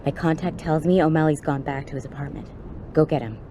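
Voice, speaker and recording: A woman's voice: deep voice, steady tone, quick pace, noisy background, lightly acted. An actress playing a tough, brash female police officer in a video game, slangy and wry. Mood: determined.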